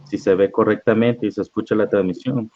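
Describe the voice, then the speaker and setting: A man leading a live-streamed Bible study, reading scripture aloud at an unhurried pace and often checking in with "¿verdad?". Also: fairly low pitch, steady tone, dry recording. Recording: dull lo-fi online stream audio.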